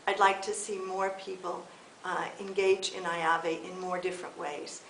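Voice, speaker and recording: A middle-aged American woman from California, giving a measured, earnest, slightly halting prepared video statement as an election candidate. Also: lowish voice, level tone, creaky, fairly bright tone. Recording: echoey room with faint hiss.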